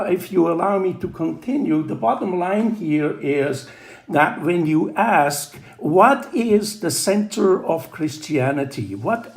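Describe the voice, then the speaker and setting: Older Swiss man on a phone line. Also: sits high. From Switzerland. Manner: halting, many restarts and fillers. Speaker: guest, biology professor. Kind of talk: phone interview. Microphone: telephone line.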